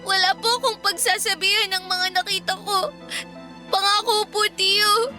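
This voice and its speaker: A timid young niece in a radio drama, her voice very high and bright. She answers meekly and politely, pleading and fearful. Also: flat delivery, moderate pace, faint music behind. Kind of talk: classic radio drama acting.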